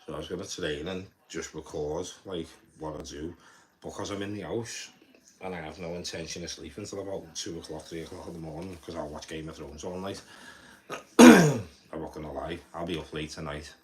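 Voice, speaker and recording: A man's voice: low-pitched, fairly quick, low-quality recording. A young man with a Liverpool accent chatting casually to viewers on a live stream, rambling, repeating words and swearing offhandedly. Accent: Liverpool (Scouse). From Liverpool, England. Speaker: livestreamer. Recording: faint background noise.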